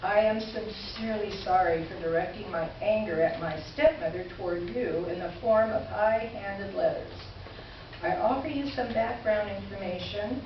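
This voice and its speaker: A woman playing a wry, articulate columnist who reads her formal letters aloud in reader's theater with measured, pointed irony. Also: mid-range voice, lightly acted. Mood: amused, apologetic. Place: indoor live stage presentation. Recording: echoey room, distant mic, muffled, noisy.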